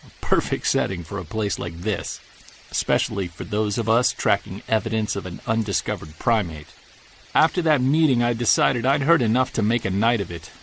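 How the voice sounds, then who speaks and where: An actor playing a wistful separated man who hunts Bigfoot, narrating his audio-drama field journal in a creaky, conversational, earnest voice. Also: lowish voice, fairly bright tone, a little hiss or hum, rough recording.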